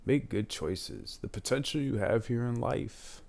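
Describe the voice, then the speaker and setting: A man's voice: mid-range voice, expressive, unhurried, creaky, a little mellow. A man in his twenties talking casually and candidly, giving life advice and often adding "man". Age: 20s. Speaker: advice channel host.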